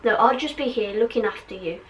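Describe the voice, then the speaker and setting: A woman voicing a worried, devoted, stubbornly caring teenage girl witch from a story, softly and hesitantly. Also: fairly high pitch, quick pace, a little hiss or hum, close to an everyday voice, poor audio. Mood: worried, insistent.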